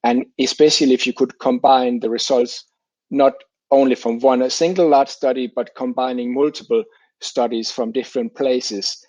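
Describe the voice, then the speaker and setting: A middle-aged man from Denmark, explaining his scientific work as an interview guest in halting phrases with repeated words. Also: medium pitch, steady tone, darkish tone, no echo, poor audio. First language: Danish.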